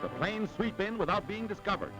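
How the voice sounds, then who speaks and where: A man's voice: high-pitched, animated, a little fast, low-quality recording. An American man reading a scripted 1940s newsreel narration in a dramatic, urgent, emphatic manner. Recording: vintage 1940s newsreel soundtrack with music. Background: loud dramatic music.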